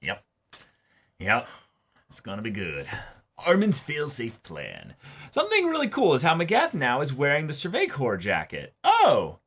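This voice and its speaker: A man's voice: mid-range voice, huge pitch swings, a little slow, fairly bright tone, slightly rough. A man hosting an anime reaction show, reading viewer comments aloud and discussing them casually with emphatic delivery.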